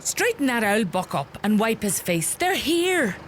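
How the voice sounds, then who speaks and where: An actress playing a bossy, sharp-tongued rural mother with a Donegal Irish accent in a radio play. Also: on the high side, unhurried, slight background noise. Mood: flustered. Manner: scolding, animated, gossipy.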